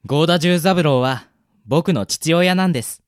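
A man's voice: on the high side, unhurried, no room sound, clean studio sound, lightly acted. A man acting a polite, easygoing young florist's son in a radio drama. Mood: sheepish, matter-of-fact. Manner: polite, easygoing, soft-mannered speech. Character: polite, cheerful young son minding a flower shop.

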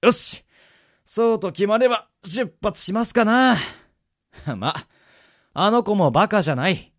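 A man playing an easygoing middle-aged swordsman mentor in a radio drama, moving between polite formality and gruff casual talk. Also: high-pitched, animated, measured pace, no room sound, studio quality. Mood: composed, courteous. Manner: switches between smooth polite formality and rough casual drawl. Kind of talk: anime-style radio drama acting.

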